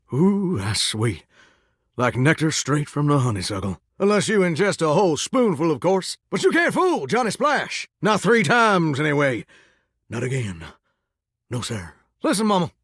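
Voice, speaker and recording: A man's voice: fairly high pitch, fairly bright tone, husky, dry recording, studio quality, full character voice. A man playing a folksy, flirtatious talking shower in a video game, with a theatrical Southern US drawl and a wistful streak. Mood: flirty, hospitable. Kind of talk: video game character acting.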